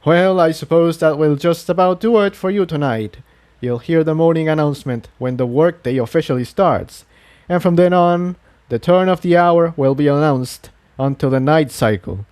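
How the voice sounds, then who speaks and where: A man's voice: on the high side, normal speed, darkish tone, clear, resonant tone, voice pushed up, lightly acted. A man voicing a devout, courteous pegasus townsman who explains things earnestly and formally in a fantasy audiobook. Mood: informative. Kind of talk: acted dialogue in fan fiction audiobook.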